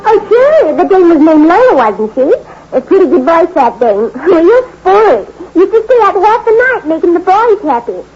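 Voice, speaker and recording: A high, lively voice swinging all over the place, a brassy, chatty nightclub dame. It's 1940s radio acting with music behind, on a dull, muffled old broadcast. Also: female voice, moderate pace. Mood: gossipy. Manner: chatty, gossipy. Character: brassy nightclub woman gossiping.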